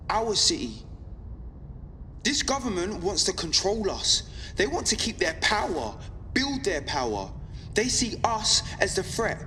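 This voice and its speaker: A woman's voice: medium pitch, pushed and bright. Young female underground broadcaster for audio drama: earnest, defiant, rhetorical delivery, echoing sound with faint noise. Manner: deliberate, emphatic, rhetorical repetition. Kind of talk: scripted audio drama monologue. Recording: long reverb, faint background noise.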